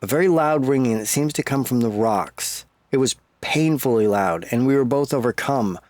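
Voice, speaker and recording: Halting, polite male researcher in a dry recording, acted. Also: medium pitch, expressive, darkish tone, no room sound, close to an everyday voice. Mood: uneasy, perplexed. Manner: halting, formal, deferential. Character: uneasy, apologetic, polite male field researcher. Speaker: field researcher reporting to his boss. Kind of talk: audio drama dialogue.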